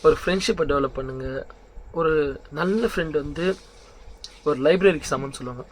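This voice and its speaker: A young man speaks in a slightly high-pitched voice. He talks informally like a vlogger giving motivational advice. He slips English words into his speech and keeps checking in with rhetorical questions. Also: brisk, slight background noise. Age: young adult. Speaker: vlog host.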